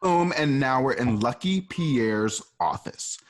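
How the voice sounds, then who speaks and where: A man casually narrating the stage directions of an amateur radio play, chatty and animated. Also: mid-range voice, takes it slowly.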